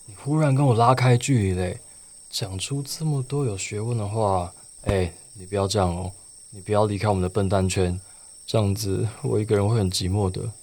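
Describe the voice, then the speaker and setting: Low-voiced, dreamy Taiwanese schoolboy played by a man. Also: steady tone, fairly quick, a little mellow. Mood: playful, hopeful. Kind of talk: Mandarin radio play acting and first-person narration.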